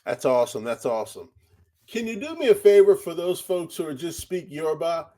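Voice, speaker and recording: A man's voice: on the high side, animated, fairly quick, dark tone. A man interviewing a language tutor, upbeat and encouraging, often repeating his praise. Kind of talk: interview questions.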